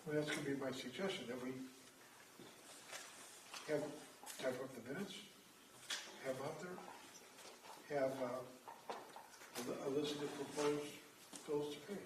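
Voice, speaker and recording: A man calmly explaining suggestions at a village meeting. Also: fairly high pitch, background noise. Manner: calm, explanatory, measured. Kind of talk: reasoned public comment. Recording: roomy sound, mic far off.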